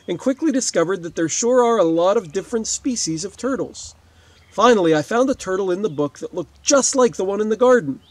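A man in his forties with a high-pitched voice teaches young children by reading aloud. His delivery is expressive and explanatory, as a schoolteacher's would be. He speaks outdoors, a few steps from the camera. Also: no echo. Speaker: fourth-grade teacher. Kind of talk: read-aloud lesson for children, with asides. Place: outdoors beside a wetland lake.

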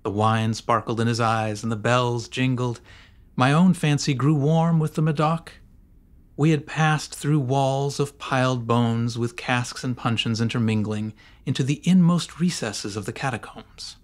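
A man narrating a gothic story as an audiobook, in a measured, theatrical manner. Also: medium pitch. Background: faint music and sound design.

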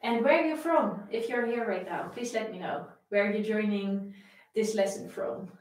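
A woman's voice: medium pitch, normal speed, a little mellow, recorded from a distance. Dutch-accented woman in a roomy space. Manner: friendly, greeting viewers by name, chatty. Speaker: violin teacher. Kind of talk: live-stream lesson chat. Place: home room with framed pictures, indoors.

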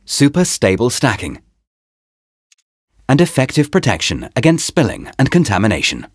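A man reading a scripted promotional voice-over in short, deliberate, presentational phrases, as a product narrator. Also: mid-range voice, huge pitch swings, no room sound, clean studio sound. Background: background music.

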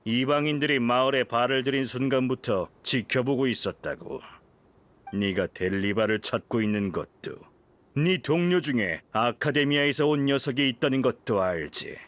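An actor playing a smug, scheming desert thug in a video game, sneering and gloating. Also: male voice, mid-range voice, expressive, moderate pace, a bit bright, no echo, lightly acted. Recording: music behind the voice.

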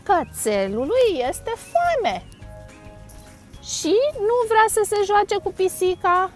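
A woman's voice: very high voice, big pitch swings, faint music behind. A middle-aged woman hosting a children's storytime, reading a picture book expressively and playfully in simple phrases. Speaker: storytime host. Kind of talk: animated children's picture-book read-aloud. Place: outdoors on a grassy lakeside bank.

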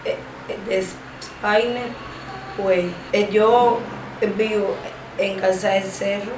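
A young woman in her twenties with a Cuban accent, speaking haltingly with a stammer and many drawn-out fillers while giving personal testimony in an interview. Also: mid-range voice, fairly even intonation. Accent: Cuban Spanish. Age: 20s. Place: small indoor room, seated. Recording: echoey room with background noise.

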